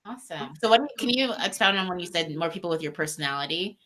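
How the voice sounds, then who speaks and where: A woman's voice: lowish voice, animated, quick pace, bright, forceful tone. A woman co-hosting a casual live-stream chat, giggly and chatty. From Texas. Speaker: co-host.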